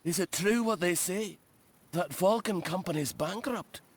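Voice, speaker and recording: A nosy old-timer in a game cutscene. His voice sits fairly high for a man, and he is persistent and prying. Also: a little slow, a little hiss or hum. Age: older. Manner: prying, insistent. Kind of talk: video game cutscene acting.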